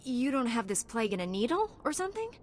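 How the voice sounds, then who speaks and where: An actress playing an earnest, plucky and dry-witted young heroine in a sci-fi video game. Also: female voice, a little high, big pitch swings, fast, slight background noise. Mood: resolute, dry. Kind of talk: acted video game dialogue.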